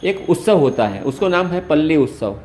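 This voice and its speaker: Echoey Gujarati-accented man, fairly high voice. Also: big pitch swings, moderate pace, a little mellow. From Gujarat, India. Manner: explains step by step with everyday examples. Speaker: host, activist caller. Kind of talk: explanatory phone conversation. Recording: roomy, echoey call recording.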